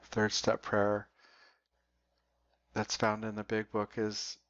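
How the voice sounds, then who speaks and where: A man leading a guided meditation in a slow, deliberate way, leaving long pauses. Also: fairly low pitch, completely flat delivery, fairly bright tone. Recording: dull, roomy recording.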